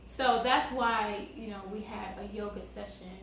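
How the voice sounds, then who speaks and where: Calm-voiced woman in an echoey room. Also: medium pitch, measured pace, creaky. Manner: calm, soft-spoken, laughs easily. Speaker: co-host. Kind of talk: casual couple chat. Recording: very echoey room with faint background noise.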